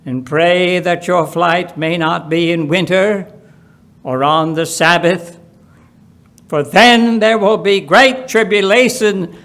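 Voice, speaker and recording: A man with a notably high-pitched voice reads from the Bible at a very slow pace. His delivery is solemn and deliberate, setting phrases apart with pauses and leaning on them for emphasis. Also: creaky, a bit bright. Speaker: Bible reader at a church. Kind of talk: solemn scripture reading.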